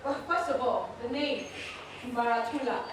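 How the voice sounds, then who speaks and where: A woman playing a hesitant, apologetic actor in a stage monologue, speaking politely and earnestly with halting delivery. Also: a little high, lightly acted. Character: hesitant, apologetic young actor questioning the script. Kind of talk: theatrical solo stage monologue performance. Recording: echoey hall, distant mic, noisy.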